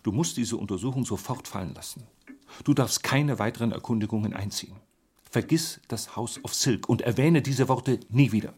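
An actor playing a grave, authoritative elder brother and government official in a radio play, stern and formal. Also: male voice, mid-range voice, normal speed, darkish tone, breathy edge, close to an everyday voice. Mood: grave warning. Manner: stern, formal, insistent warning.

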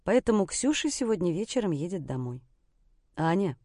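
A woman's voice: medium pitch, expressive, brisk, mellow, no echo, studio quality. A woman reading a scripted first-person story as an audiobook narrator.

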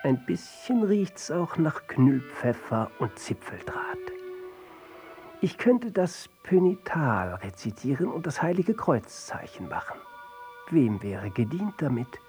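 Pompous poet with swooping delivery. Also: male voice, on the high side, big pitch swings, slow, a little mellow, faint music behind, exaggerated voice. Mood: disdainful, musing. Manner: declamatory, grandiose, theatrical. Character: pompous, world-weary male fantasist and church poet. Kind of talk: avant-garde radio play monologue.

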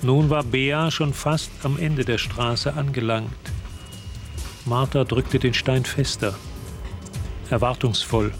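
Radio drama narrator: sober, measured, mid-pitched man, faint music underneath. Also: fairly even intonation. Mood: measured, ominous. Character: sober male storyteller of a dark mystery.

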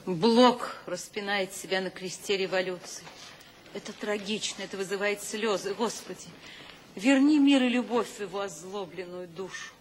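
A woman's voice: medium pitch, moderate pace, natural voice. Anguished scornful lady acted by a woman, theatrical radio play, long hall reverb, faint noise. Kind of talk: theatrical radio drama monologue.

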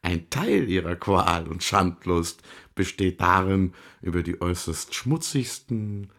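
A man's voice: low-pitched, animated, a little slow, fairly bright tone, exaggerated voice. A man playing a mocking, learned devil in a German radio drama, speaking in pompous, archaic, scholarly phrases. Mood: gloating lecture.

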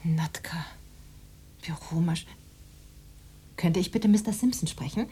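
An actress playing a poet's dutiful, composed secretary in a German radio play, polite and matter-of-fact. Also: female voice, on the low side, takes it slowly, background noise. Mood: businesslike.

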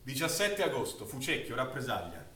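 A male actor playing a grave, anguished witness who narrates a wartime massacre in solemn, declamatory stage recitation with deliberate pauses. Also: medium pitch, sing-song, sharp tone. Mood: grave, tense. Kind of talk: dramatic theatrical recitation of wartime testimony. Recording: very echoey, distant stage recording.

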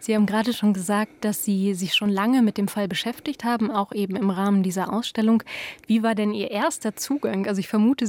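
A woman's voice: medium pitch, darkish tone, no echo. A woman interviewer putting prepared questions in a polite, formal way.

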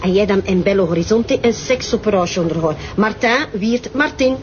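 A woman with a Flemish accent playing a chatty, excitable police officer with folksy cheek in a crime drama, colloquial and exclamatory. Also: mid-range voice, fairly quick, a little hiss or hum, close to an everyday voice. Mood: excited. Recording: dull lo-fi sound.

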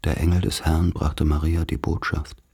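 An actor in a radio play playing a grave man who recalls a dark rural childhood, speaking in solemn, measured, poetic phrases with a husky voice. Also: rumbling low, flat delivery, full character voice. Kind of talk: literary radio play monologue.

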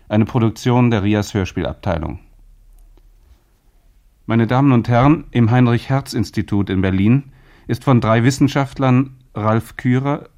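A man giving a measured, formal scripted announcement in 1970s radio style. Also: lowish voice, a little mellow.

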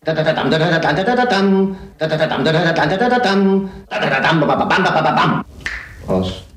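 A man's voice: fairly high pitch, expressive, a little fast, fairly bright tone, recorded from a distance. A man explaining a piece of music off the cuff, singing out themes on simple syllables as examples. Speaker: interviewee explaining a symphony. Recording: roomy sound with faint music.

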